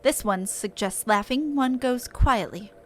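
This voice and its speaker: A woman's voice: a little high, a little slow, close to an everyday voice. A woman voicing a stern, formal lawkeeper creature in a game, accusing in stilted third-person speech.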